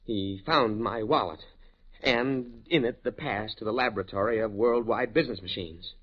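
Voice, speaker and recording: A man's voice: fairly low pitch, normal speed, lightly acted. A man playing an anxious, principled academic in a 1950s radio drama, hesitant and stammering over his words. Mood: distressed. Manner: agitated, hesitant, stammering repeats. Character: anxious, principled male academic trapped by blackmail. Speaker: radio actor. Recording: dull vintage radio recording.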